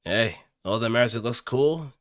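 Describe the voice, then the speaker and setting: A man voicing a cocky anime mecha pilot, delivering battle lines in a dramatic, drawn-out way. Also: mid-range voice, expressive, fairly bright tone, no echo, clean studio sound, pitched above the performer's own voice, natural voice. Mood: showy, intense.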